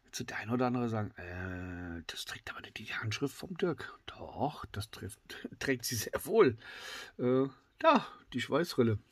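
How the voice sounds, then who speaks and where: A man's voice: medium pitch, measured pace, dry recording. Man with a slight cold, chatty knife review, lots of ums, dry close sound. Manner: chatty with many fillers, drops to excited whispers. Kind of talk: casual tabletop product review. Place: indoors at a wooden table.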